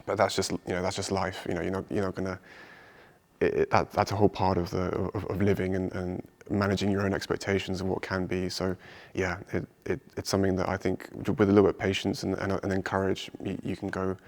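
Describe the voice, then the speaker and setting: Low, very monotone man reflecting. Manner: halting, repeats words. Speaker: artist. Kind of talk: casual reflective talk.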